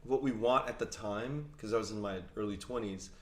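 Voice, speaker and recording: A man's voice: fairly low pitch, normal speed. A young man appearing as a podcast guest, chatting in an easygoing, agreeable way. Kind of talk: casual podcast chat. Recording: echoey room.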